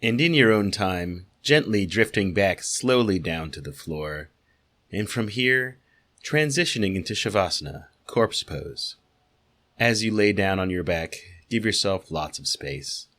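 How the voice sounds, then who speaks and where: A man playing a serene yoga instructor, gently and soothingly guiding a relaxation session. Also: low-pitched, expressive, unhurried. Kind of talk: guided meditation.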